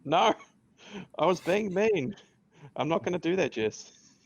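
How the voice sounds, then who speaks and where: A grumpy, guarded young bartender with a dry, sarcastic edge, as a man reads him in a sitcom table read. His voice is gravelly with vocal fry. The recording sounds dull and lo-fi. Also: high voice, animated, measured pace, exaggerated voice. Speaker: podcast host reading a part.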